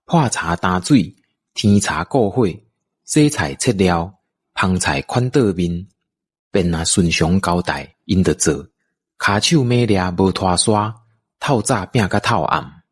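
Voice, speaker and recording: Male narrator, deep pitch, rough husky tone, Taiwanese accent, scripted storytelling, radio drama.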